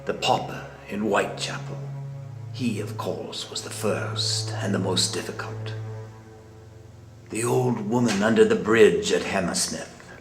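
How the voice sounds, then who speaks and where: An actor playing the composed, menacing leader of a secret murderous society, speaking in formal, measured oratory in a film drama. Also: male voice, fairly low pitch, echoey room, music in the background. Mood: solemn, menacing.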